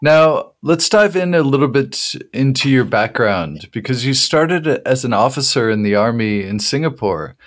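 A man's voice: medium pitch, expressive, normal speed, few pauses, no echo. A man hosting a radio show, reading an upbeat segment and interviewing a guest.

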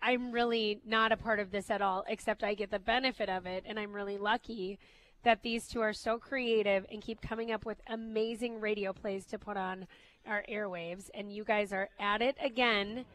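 A woman's voice: medium pitch, fairly even intonation, moderate pace, a bit bright, low-quality recording. A woman hosting a radio show, chatting casually in a playful, teasing way. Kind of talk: casual bantering radio chat.

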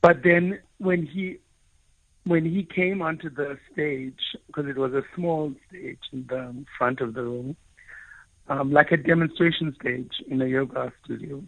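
A South African man, Afrikaans by birth, recounting his own experiences in an earnest, searching way. His voice sits a little high and has a gravelly edge. It comes over a thin, phone-like line with no room sound. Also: steady tone, a little slow, no room sound. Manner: earnest, searching for words, frequent restarts. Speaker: author and guest. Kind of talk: reflective personal storytelling.

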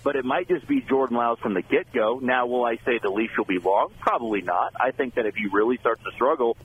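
A man's voice: mid-range voice, fast, phone-quality sound, slight background noise. A man reporting by remote line from spring training, talking baseball analysis in an easygoing, thoughtful way. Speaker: team beat reporter. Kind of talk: remote radio interview.

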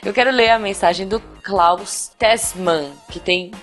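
Woman, podcast co-host, mid pitch, casual, opinionated, faint music. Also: unhurried, a bit bright. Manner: laughing, opinionated banter. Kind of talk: casual chat.

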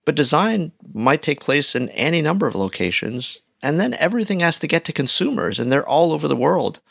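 A man's voice: fairly high pitch, very animated, a little fast, vocal fry, a bit bright, no room sound, clean studio sound. A man co-hosting a podcast, musing thoughtfully on the topic. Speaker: podcast co-host. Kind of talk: conversational podcast talk.

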